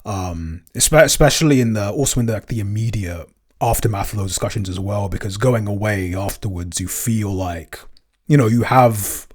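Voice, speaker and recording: A man's voice: lowish voice, normal speed. A man co-hosting a podcast in casual chat, hesitant, with many "um"s and restarts. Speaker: podcast co-host, producer.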